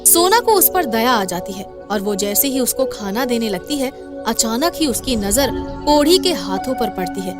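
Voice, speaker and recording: A woman's voice: a little high, sing-song, moderate pace. A woman telling a dramatic scripted tale as its narrator. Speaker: storyteller. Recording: clear background music under voice.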